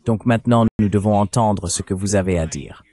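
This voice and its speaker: A man's voice: on the low side, fairly quick, warm tone. A man moderating a panel discussion, heard as a translated voice-over.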